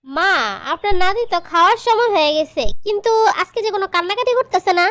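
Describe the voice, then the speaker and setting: A woman's voice: very high-pitched, fast talker, bright, forceful tone, dry recording. A woman voicing a pampered young married daughter in a comedy cartoon, girlish and coaxing, with a Bangladeshi accent. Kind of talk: comedy cartoon dialogue in colloquial dialect.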